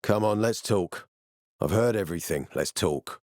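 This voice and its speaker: An actor playing a tough, suspicious peacekeeper commander in a video game, terse, sardonic and threatening. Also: male voice, on the low side, moderate pace, darkish tone, dry recording, studio quality, lightly acted. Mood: guarded warning. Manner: terse, menacing, sardonic, profane. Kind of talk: video game character dialogue.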